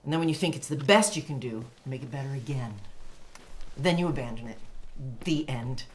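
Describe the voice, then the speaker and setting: A solitary woman writer in a radio drama. Her voice is remarkably deep for a woman, and she speaks tersely and drily, with weary bluntness. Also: animated, brisk. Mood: blunt, emphatic. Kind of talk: naturalistic radio drama acting.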